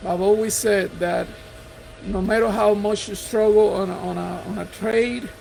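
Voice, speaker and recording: Middle-aged Puerto Rican man with an accent, high gravelly voice, earnest encouraging investor vlog, faint hum behind. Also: steady tone, normal speed, a little mellow, poor audio. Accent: Puerto Rican Spanish. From Puerto Rico. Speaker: real estate investor. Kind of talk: motivational talk to camera. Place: house.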